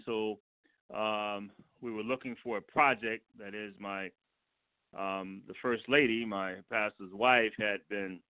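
An American man from Washington, D.C., a guest director giving measured, polite, somewhat hesitant interview answers. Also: lowish voice, dry recording. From Washington, D.C., United States. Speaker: guest film and stage director. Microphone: telephone. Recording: tinny narrow phone line.

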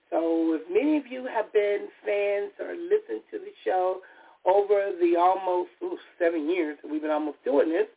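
A woman with a raspy voice, recovering from a cold, hosting a solo radio talk show as a therapist, speaking in a heartfelt, personal way with restarts and stammers. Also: medium pitch. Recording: tinny phone-line call-in audio.

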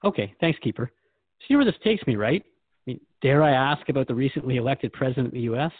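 A male podcast host talks in a reflective, questioning manner. His medium-pitched voice moves around expressively, and the sound is dry and close, as in an earnest one-to-one interview. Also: lively intonation, fast, dry recording, phone-quality sound.

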